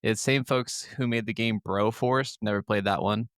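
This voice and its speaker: A man's voice: lowish voice, fairly even intonation, normal speed, smooth, no room sound, studio quality. A man co-hosting a podcast in casual chat, talking in a crude, jokey, profane way. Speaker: podcast co-host.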